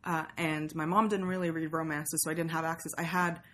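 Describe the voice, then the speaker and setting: A woman's voice: deep voice, level tone, fairly quick, fairly bright tone. A woman from Sweden who spent part of her childhood in the United States, chatting casually in an interview in a rambling, explanatory way. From Sweden, with childhood years in the United States. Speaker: podcast co-host being interviewed.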